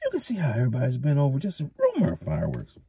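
An eager, cheeky young villager who complains quickly when he feels left out, as a male narrator puts him on. The voice jumps high and lively. It sounds close and husky. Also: full character voice. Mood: aggrieved. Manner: eager, aggrieved.

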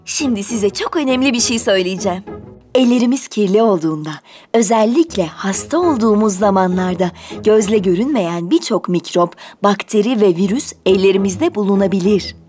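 A woman's voice: mid-range voice, expressive, brisk, natural voice. A woman voicing a kind, patient young preschool teacher in a children's cartoon, speaking in an instructive, encouraging way. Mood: earnest, explanatory. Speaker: voice actor. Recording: loud music behind the voice. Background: music.

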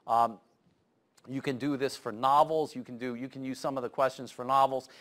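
A middle-aged man closing a lecture in a university classroom, talking with many stammered restarts and quick asides. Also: mid-range voice, flat delivery, fairly bright tone. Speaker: guest lecturer. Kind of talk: lecture wrap-up.

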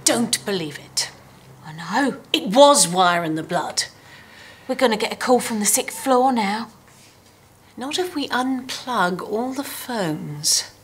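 A woman's voice: fairly high pitch, lively intonation, slow pace, a little hiss or hum. A woman acting a worried, dry office staffer in a naturalistic comedy drama. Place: busy-looking office.

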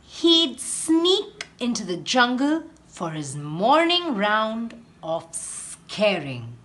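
Indian english lady teacher reading a kids book, super slow, high voice. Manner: very slow, expressive storytelling. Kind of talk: picture book read-aloud.

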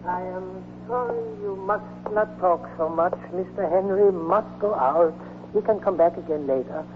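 A grave, formal doctor played by a man with a high voice. It is 1940s radio drama, muffled and noisy. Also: moderate pace, close to an everyday voice.

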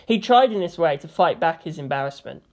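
A woman's voice: low voice, lively intonation, fairly quick, a little mellow. A woman reading fan fiction aloud as narrator, in a dramatic storytelling style.

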